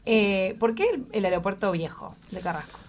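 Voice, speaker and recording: A woman's voice: mid-range voice, moderate pace. A woman with a Uruguayan accent hosting a casual conversational interview in a chatty, informal way. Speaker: interviewer, programme host.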